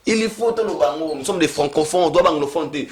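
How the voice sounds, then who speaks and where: A man from Congo, commenting in a casual debate, talking rapidly with many repeated restarts. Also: on the high side, a little hiss or hum. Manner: rapid, repetitive, stammering restarts. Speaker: media commentator. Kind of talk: casual debate in French and Lingala.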